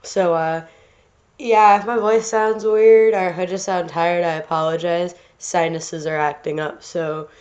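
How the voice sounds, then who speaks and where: A woman with a stuffy, congested nose, hosting her art channel in loose, rambling commentary. She keeps restarting her sentences and filling pauses with "um" and "uh." The recording sounds a little dull and lo-fi. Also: fairly low pitch, fairly even intonation, darkish tone. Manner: rambling, many fillers and restarts. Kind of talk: casual rambling commentary over drawing video. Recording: slightly dull lo-fi home recording.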